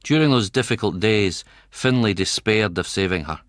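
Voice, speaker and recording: An actor playing a kindly senior Scottish doctor who narrates a radio drama, measured and avuncular. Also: male voice, on the low side, lively intonation, no room sound, studio quality, natural voice. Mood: measured, sombre.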